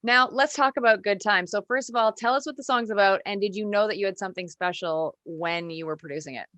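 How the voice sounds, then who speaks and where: A woman's voice: mid-range voice, quick pace, pushed and bright, no room sound. A woman hosting a casual music interview in an enthusiastic, chatty way. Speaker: radio show host, interviewer.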